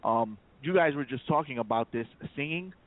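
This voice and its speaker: A man's voice: mid-range voice, expressive, moderate pace, no room sound. Stammering New man on a phone line. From South Bronx, New York. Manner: stammering repeats, many "you know" fillers. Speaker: guest rapper. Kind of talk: casual radio interview. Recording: tinny phone line, dry.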